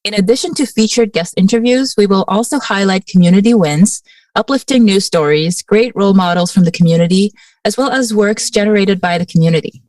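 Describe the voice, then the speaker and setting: A woman from Mauritius co-hosting a podcast, asking questions in a measured, friendly way. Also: medium pitch, darkish tone, no echo. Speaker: podcast co-host. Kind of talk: casual conversational podcast chat. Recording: dry and slightly distant.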